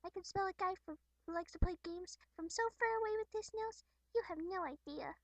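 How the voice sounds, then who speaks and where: A woman voicing a playful, excitable, catlike teenage troll girl with a childlike, teasing manner. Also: very high-pitched, fairly quick, no echo, dull audio, pitched above the performer's own voice. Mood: teasing indignation.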